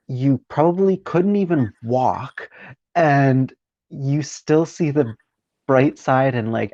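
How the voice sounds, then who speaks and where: A man's voice: mid-range voice, darkish tone, no room sound. A man from Romania interviewing a guest, talking in an enthusiastic, encouraging way. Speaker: interviewer, podcast host. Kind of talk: conversational interview. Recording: dull, dry lo-fi sound.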